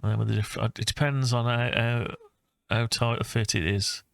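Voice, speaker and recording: A man talking through his own design project informally, thinking aloud with hesitant, halting phrases. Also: mid-range voice, fairly even intonation, creaky, no echo, clean studio sound. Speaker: hobbyist maker presenting own design project. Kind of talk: informal screen-recorded CAD design walkthrough.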